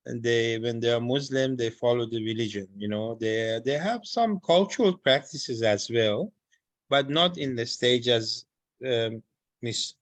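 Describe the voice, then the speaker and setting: A man's voice: mid-range voice, no echo. A man from Iran making a friendly, emphatic comment at an online seminar, with frequent fillers. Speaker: seminar participant. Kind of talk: spontaneous comment.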